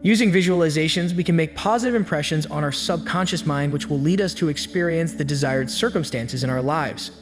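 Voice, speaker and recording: A man giving scripted self-help narration with an even, steady storytelling delivery. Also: fairly high pitch, a little fast, hardly any pauses. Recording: long hall reverb with faint music. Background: faint background music.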